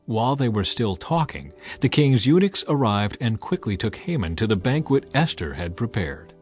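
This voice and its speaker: Expressive male narrator over music. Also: mid-range voice, moderate pace. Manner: emphatic, theatrical storytelling. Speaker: audiobook narrator. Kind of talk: dramatized scripted Bible narration. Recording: music bed behind the voice.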